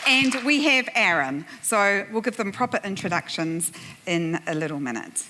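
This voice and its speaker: A woman's voice: medium pitch, expressive, moderate pace, a loud crowd, heavy background noise. A woman with a New Zealand accent, moderating a conference panel and telling a humorous, self-deprecating story to the audience with frequent laughs. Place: conference plenary room.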